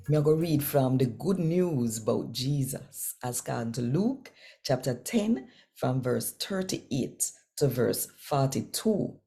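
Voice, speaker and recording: Low-voiced older Jamaican woman with creaky edge. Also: mellow. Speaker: channel host and scripture reader. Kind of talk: daily scripture reading and chat in Jamaican Patois. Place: small indoor room at home.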